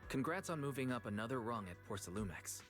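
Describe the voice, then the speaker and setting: A man playing a calm, perceptive young protagonist in a video game, observant and lightly teasing. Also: mid-range voice, fast, faint music behind, close to an everyday voice.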